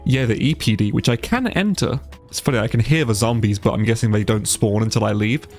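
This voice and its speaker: A man's voice: fairly low pitch, a little fast, darkish tone, faint music behind. A man with a stuffy, congested nose giving casual, sweary let's play commentary and sounding easily amazed. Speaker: gaming YouTuber, player.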